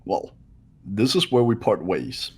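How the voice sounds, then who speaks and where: A man's voice: fairly low pitch, expressive, unhurried, breathy edge, full character voice. A man voicing a haughty, cold, impatient student rival in a visual novel, with a condescending, curt manner. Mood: dismissive. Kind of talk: visual novel character reading.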